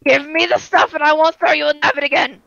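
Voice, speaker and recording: Woman voicing bossy shadow bunny, high-pitched, dramatic outbursts, slightly muffled home mic, game roleplay. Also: little pitch movement, fast, creaky, dry recording. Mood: loud, demanding. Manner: pushy, loud, dramatic outbursts. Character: bossy, fast-dealing, excitable animatronic shadow bunny. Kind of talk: improvised Minecraft roleplay.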